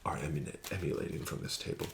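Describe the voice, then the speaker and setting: A man doing an ASMR roleplay as a casino card dealer, talking slowly and softly with smug, teasing patter that slips into whispers. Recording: audible background noise.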